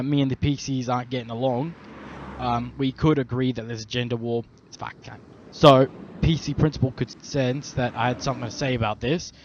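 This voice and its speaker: A young man with an Australian accent, swearing heavily and rambling through an in-character story. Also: medium pitch. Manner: heavy swearing, slangy, rambling. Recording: dull lo-fi recording, faint traffic hum.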